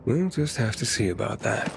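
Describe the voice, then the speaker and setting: An actor playing a guarded but kind male survivor in a video game, terse and understated, with a gravelly voice. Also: on the low side, expressive, moderate pace. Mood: guarded, wry. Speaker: game protagonist. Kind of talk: video game cutscene dialogue.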